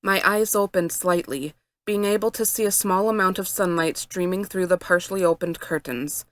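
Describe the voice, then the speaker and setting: Low-pitched woman narrating a story, dry sound. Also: normal speed, no echo. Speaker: fan fiction reader.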